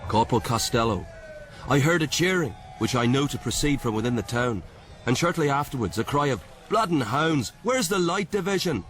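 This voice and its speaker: A man's voice: medium pitch, animated, moderate pace, a bit bright, natural voice. An actor playing a plain-spoken, shaken army corporal, telling his war story earnestly and emphatically in a radio drama. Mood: urgent, shaken. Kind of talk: dramatic radio monologue, memoir testimony. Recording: noisy radio drama recording.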